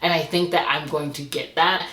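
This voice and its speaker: Low-voiced expressive woman, roomy sound. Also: normal speed. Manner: expressive, gushing, emphatic storytelling. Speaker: book reviewer and host of a reading channel. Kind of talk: chatty monthly reading wrap-up to camera.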